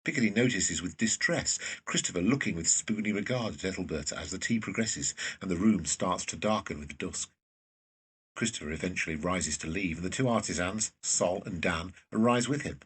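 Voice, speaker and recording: A man with a raspy voice reading scripted narration for an audio drama. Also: low voice, expressive, fairly quick, rough recording.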